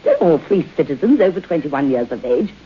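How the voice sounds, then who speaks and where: A haughty, willful mistress of a haunted house in a vintage radio play. Her voice is low and theatrical, with lively, commanding intonation, heard through a muffled, hissy broadcast. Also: brisk. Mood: sharp, commanding. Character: imperious, willful, mocking lady of a haunted house. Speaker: actor in radio drama. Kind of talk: old-time radio drama acting.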